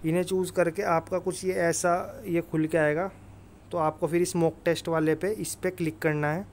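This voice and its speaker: Male exam-prep presenter, slightly high voice, informal and instructive with emphatic repetition. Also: little pitch movement, normal speed, a little hiss or hum. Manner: instructive, emphatic, repeats tag phrases. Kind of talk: informal explainer over screen recording.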